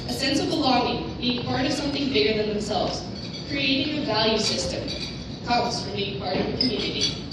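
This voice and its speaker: A woman's voice: on the high side, fairly quick, heavy background noise. A young woman from the Netherlands, Dutch her first language, giving an earnest prepared speech at a conference luncheon. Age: young adult. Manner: earnest, reading from notes. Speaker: award recipient giving a speech. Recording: distant, echoey hall with loud ambience.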